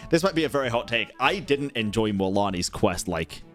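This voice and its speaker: A man's voice: lowish voice, expressive, brisk. A man doing casual, opinionated live stream commentary in a blunt, slangy way, swearing often as he ranks things. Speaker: streamer ranking game quests. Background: video game music.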